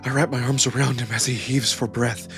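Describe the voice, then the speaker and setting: A man narrates a scripted story in the first person over background music. His mid-pitched delivery is expressive and acted, leaning into the emotion of each phrase. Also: a little fast. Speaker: narrator and voice performer of a visual novel. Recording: music behind the voice.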